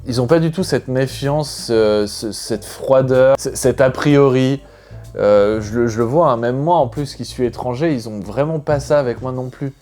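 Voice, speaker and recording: A man from France talks casually to his viewers in a chatty, unscripted way, thinking out loud as he goes. His voice sits at an everyday mid pitch. Soft music plays quietly behind him. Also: moderate pace. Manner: chatty, thinking aloud, loosely rambling. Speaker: expat vlogger. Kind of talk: casual explanatory vlog.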